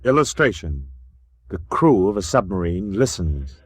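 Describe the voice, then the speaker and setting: Declamatory male narrator with swinging pitch. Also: very animated, normal speed, slightly rough. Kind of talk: dramatic scripted narration.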